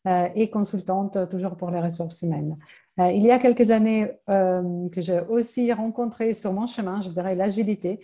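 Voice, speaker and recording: Mellow-voiced Italian-born woman coach. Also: mid-range voice. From Italy. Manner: hesitant, frequent fillers. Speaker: agile coach and HR consultant. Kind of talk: live online workshop presentation.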